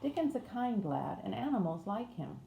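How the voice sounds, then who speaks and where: A woman's voice: on the high side, big pitch swings, moderate pace, gravelly, mellow, voice pushed up. A woman voicing a blunt, kindly young housemaid with a Yorkshire accent in a storybook reading. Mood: encouraging. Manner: plain-spoken, dialect thee and thou. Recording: roomy echo, faint noise.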